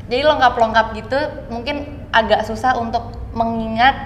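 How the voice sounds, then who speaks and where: A woman's voice: a little high, steady tone, normal speed, reverberant, big-room sound, dull audio, slight background noise. A young woman from Indonesia answering interview questions casually, mixing English words into her slangy talk. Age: young adult. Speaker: actress being interviewed. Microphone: clip-on lapel mic.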